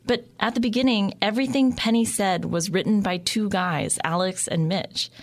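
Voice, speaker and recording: A woman's voice: mid-range voice, steady tone, moderate pace. A woman co-hosting a podcast, reading scripted narration with a wry, conversational delivery. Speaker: podcast co-host.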